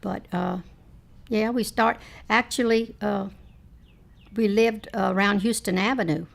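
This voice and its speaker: A woman in her late seventies, reminiscing in a family oral history chat, rambling with frequent pauses and fillers. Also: mid-range voice, steady tone, vocal fry. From Texas, United States. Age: 70s. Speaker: interviewee, mother.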